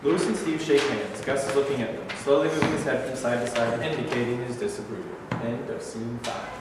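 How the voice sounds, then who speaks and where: Male reader for stage directions, steady, matter-of-fact. Distant, echoey studio audio. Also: mid-range voice, a little fast, very noisy.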